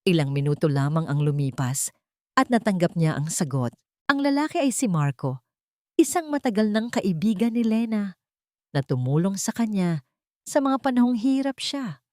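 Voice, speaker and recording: A woman's voice: medium pitch, expressive, moderate pace, warm tone, no echo, studio quality. A woman reading scripted narration for a dramatic love story.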